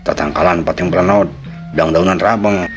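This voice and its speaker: A man's voice: deep voice, fairly even intonation, moderate pace, creaky, faint music behind. A man with a Sundanese accent narrating a folk tale dramatically as a storyteller.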